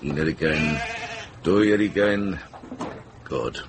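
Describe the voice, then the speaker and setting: A man playing a wise, wry older medieval monk in a radio drama, composed and unhurried in manner. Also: very deep voice, background noise. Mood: wry, fond.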